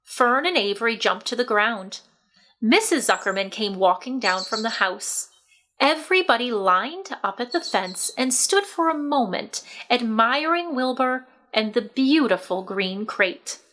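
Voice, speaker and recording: A woman narrating a children's book aloud in an expressive, scripted storybook style. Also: fairly high pitch, a little slow.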